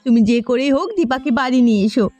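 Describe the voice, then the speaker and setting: A woman acts out an anguished village mother from a cartoon. Her high voice pleads urgently and melodramatically, with faint music underneath. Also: very fast, warm tone, lo-fi sound, close to an everyday voice. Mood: anguished, pleading. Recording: music behind the voice.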